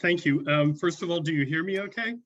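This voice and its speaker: A man's voice: fairly high pitch, a little fast, a bit bright. Older guy from north carolina on a video call, lots of ums, dull lo-fi sound. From North Carolina, USA. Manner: hesitant, many fillers. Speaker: guest speaker. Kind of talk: personal talk over video link.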